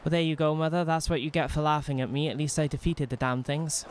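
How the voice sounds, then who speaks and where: A young man from Wales with a softened Welsh accent, streaming a role-playing game in rambling, self-mocking chat full of restarts. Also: fairly high pitch, little pitch movement, fast, a little hiss or hum. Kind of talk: casual in-character role-play commentary.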